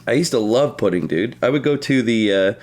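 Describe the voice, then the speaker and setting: A man's voice: medium pitch, lively intonation, brisk, darkish tone. Crude, casual man in his early thirties. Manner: crude, heavy swearing, slangy. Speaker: podcast co-host. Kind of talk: casual comedic chat, telling anecdotes.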